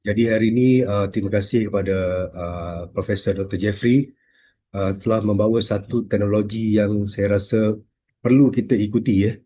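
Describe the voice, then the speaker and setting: A man from Malaysia, a community representative, giving a halting short speech at a launch event, full of fillers. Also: lowish voice, steady tone, mellow, a little hiss or hum. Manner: halting, many fillers, mixes English terms into Malay.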